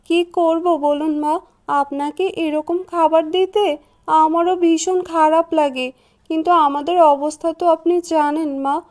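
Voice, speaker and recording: A woman voicing a gentle, dutiful daughter-in-law in a cartoon, pleading and deferential. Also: sits high. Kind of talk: Bengali cartoon voice acting.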